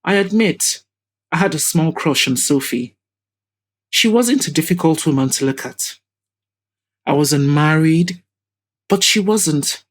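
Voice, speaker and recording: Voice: medium pitch, expressive, unhurried, pauses often. A woman voicing a sinister, wealthy older man with a haughty, formal and evasive manner in an audio drama. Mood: smug.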